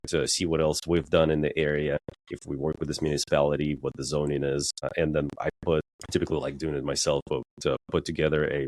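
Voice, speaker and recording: A man's voice: a bass voice, no room sound. A man being interviewed as a guest, explaining things plainly and casually with frequent "uh" fillers. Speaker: guest, real estate developer.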